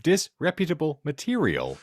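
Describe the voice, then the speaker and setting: A man's voice: a little high, huge pitch swings, normal speed, no room sound, studio quality. A man hosting a comedic podcast, wordy and theatrical, rambling through florid, alliterative phrasing. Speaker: podcast host.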